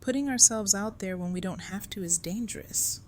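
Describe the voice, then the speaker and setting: A woman's voice: mid-range voice, moderate pace, darkish tone, a little hiss or hum, lightly acted. A woman playing a stern, guarded and unyielding security chief in an audio drama. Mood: angry, accusing. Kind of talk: full-cast audio drama acting.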